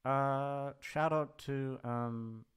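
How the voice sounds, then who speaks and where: A man's voice: mid-range voice, steady tone, extremely slow, clean tone. A man chatting casually in a rambling, hesitant way, swearing freely as he goes. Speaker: ASMR voice actor, channel creator. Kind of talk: rambling casual farewell chat.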